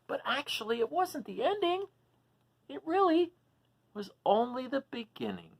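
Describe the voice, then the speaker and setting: A man hosting a children's read-aloud, expressive as he reads and chats, explaining things simply to young listeners. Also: very high-pitched, moderate pace. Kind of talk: animated storybook reading and casual chat to children.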